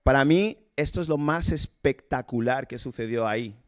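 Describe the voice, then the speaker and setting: A man's voice: mid-range voice, normal speed. Man preaching casually. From Cameroon. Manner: informal, asks rhetorical questions, chuckles between points. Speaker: evangelist preacher. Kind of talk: conversational sermon. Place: church service.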